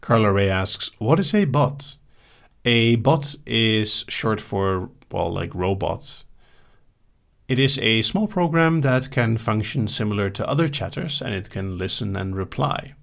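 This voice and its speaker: A man answers questions from a live chat in a calm, measured way, with dry humor. His voice sits low and has a gravelly edge. His pitch moves around a lot as he talks. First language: Dutch.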